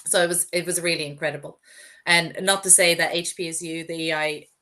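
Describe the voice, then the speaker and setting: Low-voiced woman, unwell and losing her voice. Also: fairly even intonation, a little fast, pushed and bright. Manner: informal, anecdotal. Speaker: podcast guest, startup founder. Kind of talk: casual interview talk.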